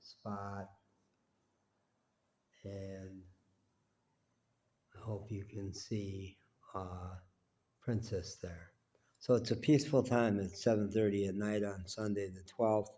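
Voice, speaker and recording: Older man, 70s, stuffy with a cold; lowish, mellow voice; slow, meandering home-vlog delivery. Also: flat delivery. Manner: unhurried, rambling, with frequent pauses and fillers. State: congested with a cold. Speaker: vlogger. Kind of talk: reflective personal monologue. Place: small home indoors, quiet.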